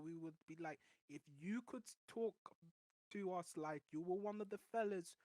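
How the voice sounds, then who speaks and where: A man with a voice that sounds high on recordings, a podcast guest telling rambling, animated stories in casual chat. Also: no echo, low-quality recording. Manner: rambling, animated storytelling with restarts.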